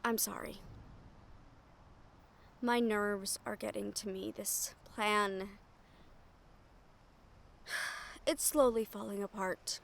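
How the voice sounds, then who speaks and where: A woman playing a wry, foul-mouthed shadow thief in a fantasy audio roleplay, nervous but frank, with dramatic pauses and sighs. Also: medium pitch, steady tone, vocal fry, a little hiss or hum. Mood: remorseful, grim.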